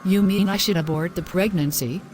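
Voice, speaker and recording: A woman's voice: on the low side, moderate pace, a little mellow, soft background music, close to an everyday voice. A woman playing a devout, distressed young girlfriend in a scripted moral story, speaking earnestly in formal phrases. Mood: anxious, distressed.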